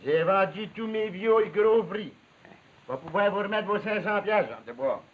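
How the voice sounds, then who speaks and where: A man's voice: sits high, expressive, moderate pace. A man playing a grateful, good-humoured logging boss with a folksy Quebec French accent, in 1950s television drama style. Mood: pleased. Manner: folksy, jovial. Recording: thin, phone-like, noisy 1950s soundtrack.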